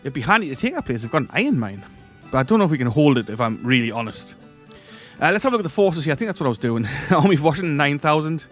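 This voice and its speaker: A man's voice: on the high side, very animated, rapid-fire, a bit bright, soft background music. Man with a stuffy cold, rambling. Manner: rambling, self-correcting, chuckles often. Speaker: strategy game let's-play host. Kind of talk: casual gameplay commentary.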